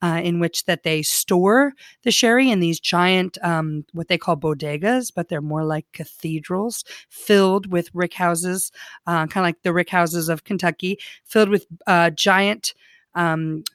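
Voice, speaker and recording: A woman's voice: on the low side, unhurried, dry recording, studio quality. A woman co-hosting a podcast, explaining in a hesitant way with many fillers. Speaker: podcast co-host. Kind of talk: casual conversational chat.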